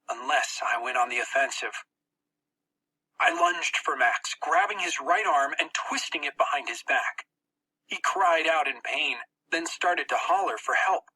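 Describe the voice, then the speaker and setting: A narrator reading a first-person story for an audiobook in a tense, expressive, dramatic way. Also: mid-range voice, slightly rough, poor audio.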